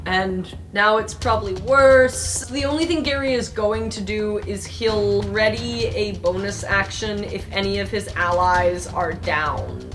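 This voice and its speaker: A woman's voice: mid-range voice, measured pace, few pauses, far from the mic. A woman casually improvising as a player in a tabletop game, describing her character's actions in the third person. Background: music.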